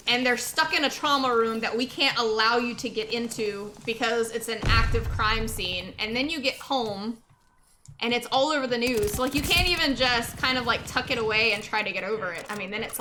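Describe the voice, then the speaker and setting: A young adult woman streaming a game and chatting with viewers, slightly hoarse, talking in a loose, rambling way full of "like" and repeated thank-yous. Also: on the high side, moderate pace, pushed and bright, soft background music. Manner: chatty, many filler likes, repeats thanks. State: a bit hoarse, sore throat. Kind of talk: casual chat while gaming.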